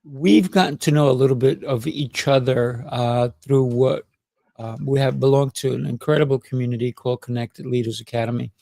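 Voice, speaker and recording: Podcast host guy, middle-aged, bit of fry, keeps saying mm-hmm and right. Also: mid-range voice, normal speed, no echo. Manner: warm, encouraging, with frequent affirmations. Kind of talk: conversational interview.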